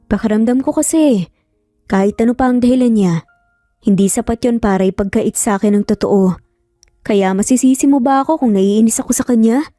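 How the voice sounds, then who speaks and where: A woman's voice: fairly high pitch, dark tone, no echo, studio quality, natural voice. A woman voicing an earnest, emphatic young father in a narrated romance story, torn over his child. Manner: earnest, emphatic, code-switching.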